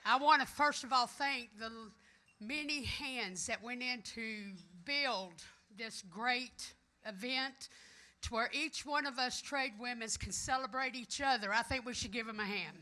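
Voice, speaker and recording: A woman's voice: fairly high pitch, measured pace, a little hiss or hum. A middle-aged woman with a Southern US accent, delivering a rousing, folksy, emphatic conference speech as a union leader. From American South, Florida. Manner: emphatic, folksy, loud and declamatory. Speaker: union electrician and trades council president giving a speech. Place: conference hall, addressing an audience.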